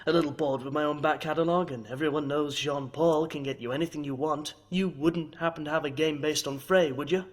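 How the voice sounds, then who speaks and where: A man's voice: on the high side, steady tone, fairly quick, hardly any pauses, close to an everyday voice. An actor playing a smug, sadistic male villain in an audio drama, mocking, theatrical and manipulative. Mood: sly, gleeful.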